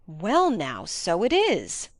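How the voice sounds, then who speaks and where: A woman's voice: on the low side, huge pitch swings, slow, dry recording, studio quality, exaggerated voice. A woman voicing a blunt, inquisitive male baronet with an emphatic, questioning manner in an audiobook. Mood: following along. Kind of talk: audiobook character reading.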